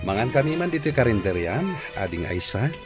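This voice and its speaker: A man's voice: medium pitch, big pitch swings, a little fast, loud music behind the voice, close to an everyday voice. A man with an Ilocano accent playing a courteous, earnest engineer in a radio comedy drama. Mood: friendly. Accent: Ilocano Filipino.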